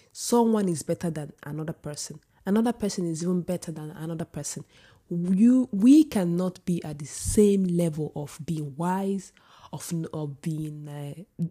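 A woman with a husky voice giving an opinionated vlog monologue, often restarting sentences and rising into emphatic outbursts. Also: low-pitched, mellow. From Sweden. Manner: many restarts and filler words. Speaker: vlogger. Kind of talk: casual opinion monologue to camera.

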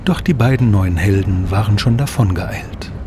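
A man's voice: on the low side, moderate pace. Male audio-play narrator over noise. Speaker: narrator and sound designer.

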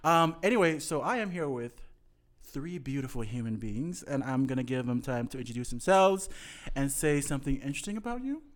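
A man hosting a casual podcast chat, upbeat and quick to laugh. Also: mid-range voice. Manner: upbeat, laughs often, lively emphasis. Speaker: podcast host. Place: indoor recording space.

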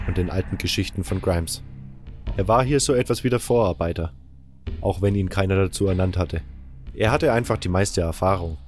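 A man with a low voice narrates a fantasy audiobook in the first person. It is scripted storytelling, with music playing softly underneath.

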